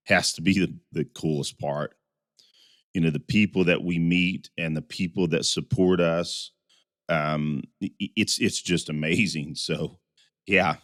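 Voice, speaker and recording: A male podcast co-host with a deep voice, still scratchy from a cold. He talks in a loose, chatty way, often repeating and restarting his words. The sound is dry and close. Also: fairly bright tone, no echo. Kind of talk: casual podcast chat.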